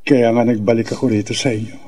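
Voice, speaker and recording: A male actor plays a distraught husband in a radio horror play. His voice is medium in pitch and rough-edged, earnest and pleading as he begs on behalf of his possessed wife. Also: little pitch movement, fast talker, mellow, close to an everyday voice. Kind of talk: radio drama acting.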